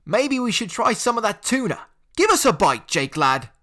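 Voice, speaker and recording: A man's voice: high voice, sing-song, normal speed, pushed and bright, voice pushed up, full character voice. A man voicing a boisterous teenage boy who banters with his friends, in an audiobook. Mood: bantering. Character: loud joking teenage boy crony.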